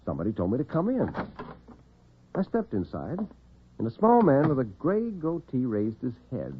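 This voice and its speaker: An American man telling dramatic tales as host and storyteller in classic radio style, theatrical and expressive. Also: mid-range voice, normal speed, husky. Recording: narrow-band vintage radio recording, muffled.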